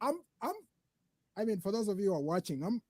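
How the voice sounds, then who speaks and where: A man's voice: sits high, sing-song, fast, no echo. A man from Uganda and Sudan hosting an interview, speaking with many restarts as he asks questions. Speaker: host. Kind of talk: casual interview.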